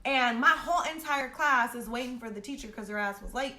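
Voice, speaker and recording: Brash young woman storyteller, bright echoey voice. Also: a little high, a little fast. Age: young adult. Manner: brash, slangy and heavily profane; acts out both sides of quoted exchanges. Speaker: host of a storytime series. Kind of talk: animated personal storytelling, casual. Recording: roomy echo with faint background noise.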